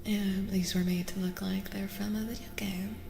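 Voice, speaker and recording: A woman streaming ASMR, chatting gently in a soft-spoken voice that often drops into whispers and repeats phrases. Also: mid-range voice, flat delivery, moderate pace, creaky, slight background noise. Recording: long reverb, roomy echo, music behind.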